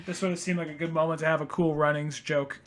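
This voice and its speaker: A man's voice: on the high side, level tone, fast. A young adult man co-hosting a podcast, reading comic lines out dramatically and adding loose, slangy commentary. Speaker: podcast co-host. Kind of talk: casual comic read-along with commentary.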